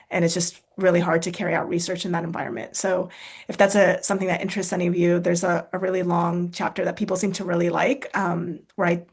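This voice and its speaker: A woman's voice: on the low side, breathy edge. An American-born woman, author and speaker, answering questions in a casual talk, thoughtful, with many fillers, restarts and tag questions. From United States.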